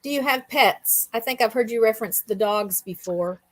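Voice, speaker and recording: A woman's voice: a little high, normal speed. A woman hosting a casual, conversational interview, asking questions and chatting. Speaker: interviewer, show host.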